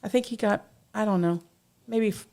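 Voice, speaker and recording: An older woman hosting a casual talk show, chatty and quick to laugh. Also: medium pitch, a little mellow. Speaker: host.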